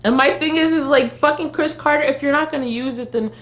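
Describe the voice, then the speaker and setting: A woman's voice: high voice, quick pace, fairly bright tone. A woman co-hosting a casual fan livestream, opinionated and blunt, swearing freely as she rants. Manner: blunt, sweary, exasperated ranting. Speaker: fan vlog co-host. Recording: roomy, slightly noisy livestream audio.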